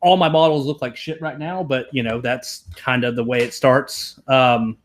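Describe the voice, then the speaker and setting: A man's voice: medium pitch, steady tone, normal speed. A young Southern man from Tennessee speaks as a podcast guest in a mid-range voice. He rambles through his thoughts, swears casually and pokes fun at himself. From American South, Tennessee. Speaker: guest, programmer.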